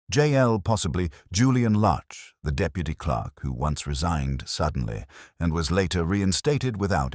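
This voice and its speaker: Male narrator for scripted mystery: extremely low, lively intonation, slightly husky, close dry sound. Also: no room sound.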